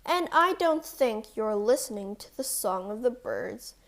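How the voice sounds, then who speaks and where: A woman acts out a sly storybook wolf, hungry and scheming. The words come slowly and coaxingly, with menace underneath, in a voice pitched high for such a beast. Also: lively intonation, a bit bright.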